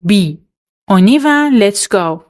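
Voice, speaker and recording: A woman reads out quiz questions and answer options at a very slow, unhurried pace. Her voice sits at an ordinary middle pitch. She delivers each choice and explanation in a steady, scripted way, as a teacher quizzing learners. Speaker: French teacher.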